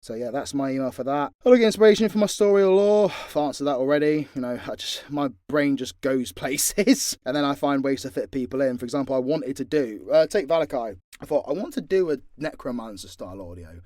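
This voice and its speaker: A British man with a mid-pitched voice talks candidly through fan questions. His delivery is casual and unscripted, peppered with swearing and joking asides. The sound is close and dry. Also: a little fast, few pauses, mellow, no room sound. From Britain. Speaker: voice actor and audio channel creator answering fan questions.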